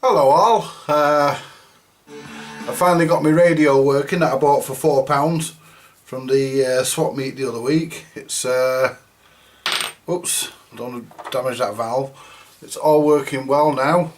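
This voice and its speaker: A man's voice: mid-range voice, fairly even intonation, measured pace, over music. A man giving casual, off-the-cuff commentary as he presents his radio repair, chatting informally to viewers.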